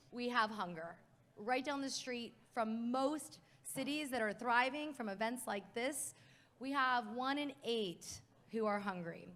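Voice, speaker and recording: A woman moderates a live conference panel in a slightly high voice. Her delivery is upbeat and emphatic, and she laughs easily. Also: a bit bright.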